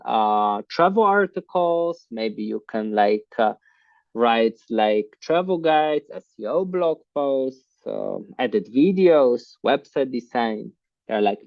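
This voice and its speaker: A man hosting an online class on a video call, talking in a halting way with many fillers. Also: mid-range voice, vocal fry, no echo. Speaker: online course host. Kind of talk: casual online class talk. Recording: muffled video call audio.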